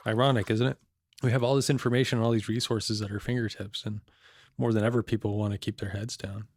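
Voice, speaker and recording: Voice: medium pitch, little pitch movement, fast talker, darkish tone, no room sound, natural voice. A performer playing a cynical, jaded computer hacker who lives off-grid, talking in a dry, blunt, casual way in an audio drama. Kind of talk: naturalistic audio drama dialogue.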